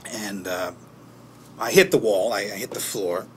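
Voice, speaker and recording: A man playing a haunted, bitter man who recounts childhood trauma in a halting, foul-mouthed documentary-interview monologue. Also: lowish voice, noisy background, close to an everyday voice. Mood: agitated, haunted. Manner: halting, foul-mouthed, with pauses and emphatic bursts. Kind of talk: naturalistic dramatic monologue in interview form.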